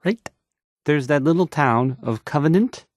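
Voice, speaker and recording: A man's voice: on the high side, lively intonation, a little slow, dry recording, clean studio sound. An actor playing a shy, awkward young radio DJ in a game, stammering and trailing off as he hesitantly reads the news. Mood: nervous, unsure.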